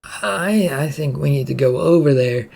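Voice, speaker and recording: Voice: mid-range voice, unhurried, gravelly, darkish tone, husky. A narrator voicing an anxious male police officer who speaks hesitantly in a story reading. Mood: unsure.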